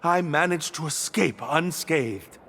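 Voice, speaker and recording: An actor playing an earnest young prisoner in love in a game cutscene, sincere and a little hesitant. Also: male voice, a little high, slow, a bit bright, exaggerated voice. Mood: earnest, nervous. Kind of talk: video game cutscene acting. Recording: music underneath.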